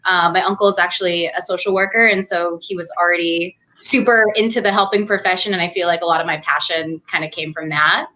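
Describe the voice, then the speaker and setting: A woman's voice: fairly low pitch, brisk, few pauses, pushed and bright. A young woman from New Hampshire, a doctoral student talking casually as an interview guest, chatty with frequent fillers and laughs. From New Hampshire, USA. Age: young adult.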